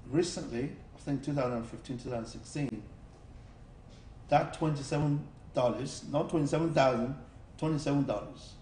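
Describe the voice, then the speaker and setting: A man's voice: medium pitch, moderate pace, a little mellow, recorded from a distance. A man, a studio guest, explaining an investment insistently and repeating phrases for emphasis. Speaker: studio guest, cryptocurrency speaker. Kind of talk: persuasive explanation. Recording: echoey studio with faint hiss.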